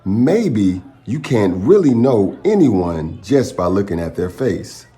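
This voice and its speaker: A man reading a children's story aloud as a storytime host, with dramatic, pausing phrasing aimed at young listeners. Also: on the low side, big pitch swings, warm tone. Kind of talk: expressive picture-book read-aloud.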